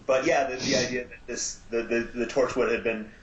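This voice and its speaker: A man appearing as a guest on a podcast, speaking casually and haltingly with lots of ums. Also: medium pitch, fairly even intonation, echoey room, muffled recording. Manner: halting, many ums, easygoing. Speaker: guest, audio drama producer. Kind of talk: casual chat.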